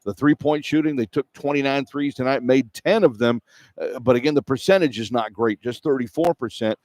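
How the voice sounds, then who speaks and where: A man's voice: medium pitch, brisk, darkish tone, no echo. A middle-aged or older man works as a play-by-play host on sports radio. He delivers postgame commentary in a mid-range voice, recorded dry with very little room around it. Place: indoor arena broadcast position.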